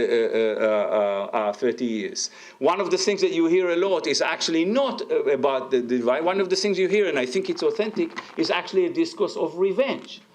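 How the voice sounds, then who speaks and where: A man speaks on a discussion panel in a somewhat raised pitch. He hesitates often, filling pauses with uh and um. Then he pushes his points with emphatic bursts. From Israel. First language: Arabic. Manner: hesitant, many fillers, rising emphatic bursts. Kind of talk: academic panel talk.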